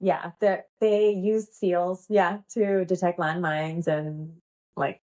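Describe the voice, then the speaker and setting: A woman's voice: on the low side, little pitch movement, slow pace, mellow. Bubbly giggly woman, heavy fillers. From United States. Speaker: guest, pole dance instructor and playwright. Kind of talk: casual interview chat.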